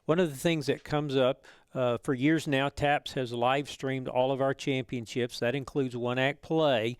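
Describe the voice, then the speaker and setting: A man gives an explanatory webinar presentation. He is methodical and wordy, with a smooth, clear voice at middling pitch and a dry sound. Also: steady tone, unhurried, no room sound. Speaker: organization official co-presenting a webinar.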